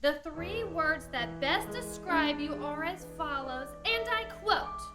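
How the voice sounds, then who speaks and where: A cutesy, plucky little girl in a comic radio drama. The voice is extremely high and unhurried, pointed and theatrical, with prominent music behind it. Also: animated, reverberant. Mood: mocking. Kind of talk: comedic audio drama acting with singing.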